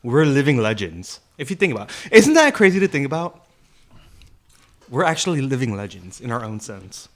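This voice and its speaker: A man's voice: medium pitch, big pitch swings, moderate pace. A young man co-hosting a podcast, chatting casually and slangily with friends and often repeating himself as he agrees. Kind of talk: casual chat between friends.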